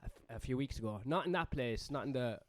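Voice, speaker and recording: A man's voice: mid-range voice, lively intonation. A young man hosting a podcast, trading crude banter, swearing constantly and laughing as he rambles through stories. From Ireland. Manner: swears a lot, laughs often, quick rambling storyteller. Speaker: podcast host.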